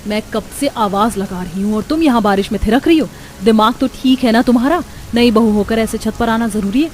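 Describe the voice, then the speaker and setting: A woman's voice: on the high side, fairly quick, darkish tone, slight background noise, close to an everyday voice. A woman voicing a domineering, spiteful elder sister-in-law, haughty and taunting, in a cartoon. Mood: bossy.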